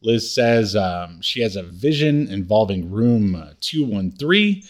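Halting man recapping a TV comedy. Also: fairly low pitch, big pitch swings. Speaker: podcast co-host. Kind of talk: casual recap chat about a TV comedy.

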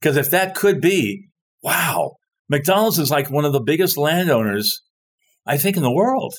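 A man's voice: fairly high pitch, very animated, unhurried. A man telling a long story in casual conversation, rambling and stopping to search for words. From born in France, grew up overseas. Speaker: guest telling stories.

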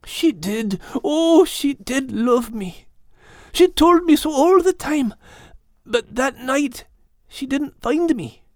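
A man voicing a frightened, grieving young male puppy in an audiobook, with a plaintive, halting delivery. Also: very high-pitched, animated, dry recording, clean studio sound, pitched above the performer's own voice, exaggerated voice. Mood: sad, pleading. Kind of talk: audiobook character voice.

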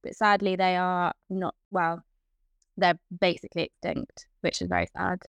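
Young woman, even and level delivery, dry close sound; chatty interview guest. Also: on the low side, moderate pace, creaky, clear, resonant tone, no room sound. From Hong Kong. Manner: chatty, with frequent fillers and restarts. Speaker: guest, events professional. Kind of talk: casual interview.

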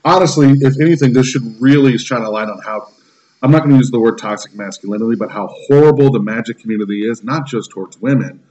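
A man's voice: mid-range voice, fast talker, darkish tone, dull audio. Blunt, swearing man in his thirties. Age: 30s. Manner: blunt, profane, irritable. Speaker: podcast co-host. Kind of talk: casual opinionated rant.

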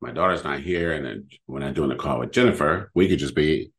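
A middle-aged man with a very deep voice, chatting casually as a podcast host at home, rambling with lots of fillers. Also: fairly quick. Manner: rambling, lively, full of fillers. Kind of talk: casual chat. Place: home living room.

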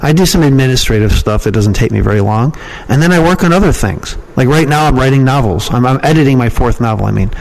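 A man's voice: medium pitch, expressive, fast talker, vocal fry, a little mellow, low-quality recording. A man answering interview questions as a guest, casual and plain-spoken, often repeating words for emphasis. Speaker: podcast guest, email marketer and copywriting trainer.

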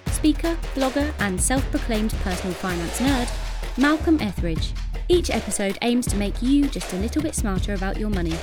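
A woman's voice: fairly high pitch, expressive, normal speed. Upbeat woman announcer over music. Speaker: show intro announcer. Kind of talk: scripted show introduction.

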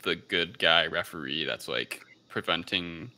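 Male podcast co-host, very low gravelly voice, casual discussion, halting phrasing. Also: sharp tone. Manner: hesitant, halting delivery, searching for words. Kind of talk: casual film review discussion.

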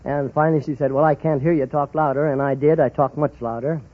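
A man's voice: a little high, steady tone, quick pace. A middle-aged man giving plain, matter-of-fact answers as a quiz show contestant. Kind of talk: casual interview answers. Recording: muffled old radio recording.

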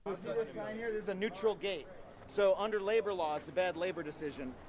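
A man's voice: sits high, fast talker. A man giving a casual, emphatic, off-the-cuff explanation, recorded outdoors on the street. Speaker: picket line explainer. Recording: outdoor street clip with crowd noise. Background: crowd and street noise.

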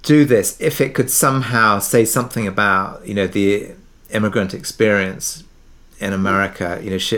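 A man's voice: fairly low pitch, fairly bright tone. A middle-aged British man talking reflectively as an interview guest, hesitant and thoughtful, often circling back to rephrase. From Britain, partly raised abroad in Kuwait. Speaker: interview guest, audio drama creator.

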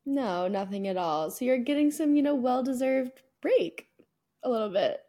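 A woman's voice: a little high, animated, normal speed, very noisy. A young American woman co-hosting a podcast in casual chat, talking in a chatty, emphatic, slangy way. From United States. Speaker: podcast co-host.

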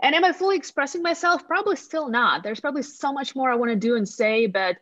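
A woman with a Latvian accent talks in a fairly high, upbeat voice, frank and friendly with the listeners. Her audio is dry and somewhat muffled, like a video call. She sounds like a relaxed podcast guest. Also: quick pace, no echo. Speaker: guest, real estate agent. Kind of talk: casual podcast chat over video call.

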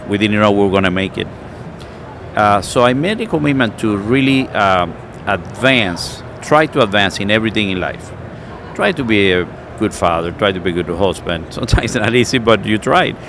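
A middle-aged man from Cuba speaks with a Cuban accent in a lowish voice, pausing often and reaching for words with "uh" and "you know." The talk is recorded outdoors, with clear street and traffic noise behind him. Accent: Cuban Spanish. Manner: searching for words with many fillers. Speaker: cigar maker. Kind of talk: casual chat.

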